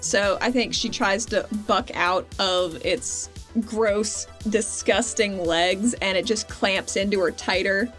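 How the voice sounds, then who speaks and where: A woman's voice: mid-range voice, slow, a bit bright, faint music behind. A woman playing a tabletop game, describing her character's actions in a playful, informal way. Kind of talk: casual in-game description and chat.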